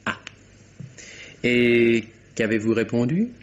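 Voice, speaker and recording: An actor playing a suave, evasive gentleman lover with dry, polite irony in a 1950s French radio drama. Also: medium pitch, slow pace, muffled recording, close to an everyday voice. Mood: wary, polite. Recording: vintage radio recording, faint noise.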